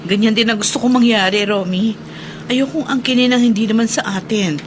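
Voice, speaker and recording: A woman playing an honest, caring mother, gentle and solicitous, in a natural radio drama. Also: fairly high pitch, fairly quick, close to an everyday voice. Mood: gentle, attentive. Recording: clear vehicle noise behind voice. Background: vehicle ambience.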